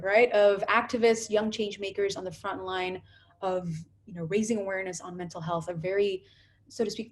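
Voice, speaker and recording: A woman's voice: mid-range voice, darkish tone. A woman hosting a youth panel over a video call, upbeat and peppering her talk with "you know" and "right?". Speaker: host.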